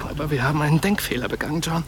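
An actor playing an intense, fatalistic soldier in a German radio play, speaking in a hushed, breathy, deliberate way. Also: male voice, on the high side, noisy background, close to an everyday voice. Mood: hushed, urgent. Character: intense, fatalistic male soldier, John's doomed friend. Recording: studio radio drama over clear music.

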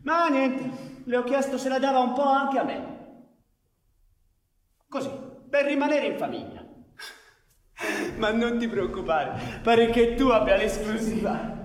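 A man's voice: extremely high pitch, unhurried, long pauses. A man playing a brash, hot-headed outlaw, crude and mockingly defiant, in a theatrical radio drama. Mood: mocking, exuberant. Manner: sardonic, swearing, theatrical. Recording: roomy echoing stage sound, distant, faint noise.

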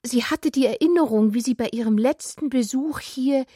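A woman narrates literary radio drama in an expressive, deliberate way, with a breathy voice that slips toward a whisper. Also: high-pitched, dry recording, clean studio sound. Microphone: close, dry studio microphone.

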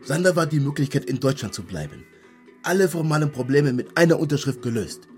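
An actor playing an anxious, evasive young Ghanaian man in a radio drama, speaking haltingly and naturalistically. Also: fairly high pitch, lively intonation, a little mellow, soft background music, lightly acted. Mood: resigned. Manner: hesitant, halting.

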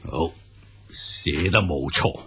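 A bossy suspicious chancellor dad, hong kong cantonese radio drama, raspy and really drawn out, stern as hell. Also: male voice, extremely low pitch, pushed and bright, full character voice. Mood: commanding. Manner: stern, authoritative, drawn-out delivery. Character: imperious, suspicious chancellor, a stern commanding father.

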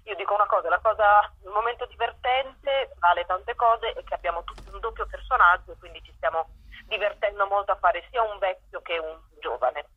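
A woman with a slightly rough, husky voice talks chattily as an interview guest. The sound is dull and lo-fi, coming through a phone on speaker. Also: mid-range voice, brisk, no room sound. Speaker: stage actress, interview guest.

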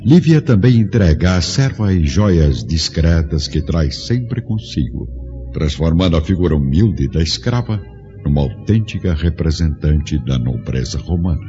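A man narrating a radio drama in a grave, measured way. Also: low voice, sing-song, dark tone. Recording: dull lo-fi recording over music. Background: music score.

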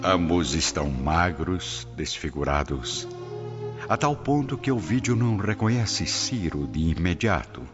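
A male narrator of a radio drama with a very low, expressively swinging voice. He reads the scripted story dramatically over prominent music. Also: big pitch swings, a little slow. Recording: dull lo-fi audio, clear music behind.

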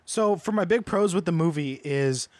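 A man's voice: a little high, expressive, moderate pace, darkish tone, no echo. A man co-hosting a podcast, casually giving his opinions in a panel chat about films. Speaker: podcast co-host.

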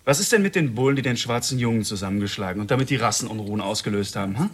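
An actor playing a cocky, menacing young killer holding hostages in a German radio drama, with a husky voice and a taunting, commanding manner. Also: male voice, on the low side, animated, rapid-fire. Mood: lecturing.

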